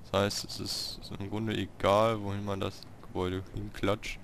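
A man giving casual gameplay commentary, talking to himself in short, halting remarks. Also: fairly low pitch, flat delivery, vocal fry, fairly bright tone, slight background noise. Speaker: let's player hosting a city-building game series.

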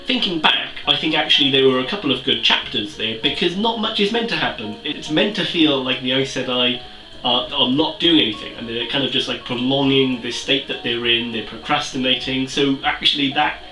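A young Welsh man talks through a book review in a chatty, animated, self-mocking way. His voice is bright and sharp. He sits back from the camera mic in a small, roomy-sounding room. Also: mid-range voice, far from the mic. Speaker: book vlogger. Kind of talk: casual book review chat. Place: small room with bookshelves, indoors.

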